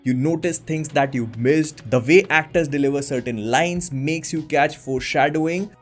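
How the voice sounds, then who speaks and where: Young guy vlogging, excited and emphatic, slightly high voice, soft music under it. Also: normal speed. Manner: enthusiastic, emphatic storytelling. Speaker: channel host. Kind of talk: sponsored recommendation monologue.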